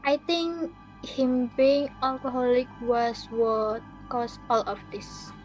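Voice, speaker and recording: A woman playing a courteous, formal hotel manager in a readers theater piece, with measured delivery. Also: sits high. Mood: serious, formal. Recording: muffled audio with background music.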